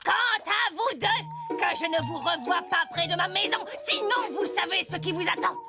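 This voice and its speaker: A woman's voice: very high voice, moderate pace, vocal fry, loud music behind the voice. A woman playing a greedy, wicked old child-eating witch in a cartoon, with theatrical, cackling delivery. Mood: angry. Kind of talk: cartoon voice acting.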